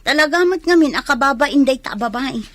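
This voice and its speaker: A woman's voice: high-pitched, animated, fairly quick, a bit bright, dry recording. A woman playing a scandalized, scolding village woman with an Ilocano accent, indignant, in radio drama style. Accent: Filipino, Ilocano.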